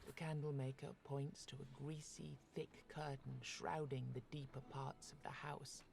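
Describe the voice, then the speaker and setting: An actor playing the narrator of a dark fantasy game, reading scripted description in a measured way. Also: male voice, mid-range voice, little pitch movement, gravelly, a little hiss or hum.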